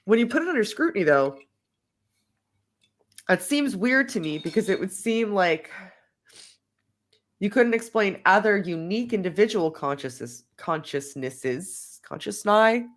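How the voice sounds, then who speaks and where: A woman's voice: mid-range voice, lively intonation, measured pace, long pauses. A woman with a cold, a podcast guest who thinks aloud in casual conversation, hedging often with "like". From Australia. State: has a cold, sniffly. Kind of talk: casual conversation over drinks.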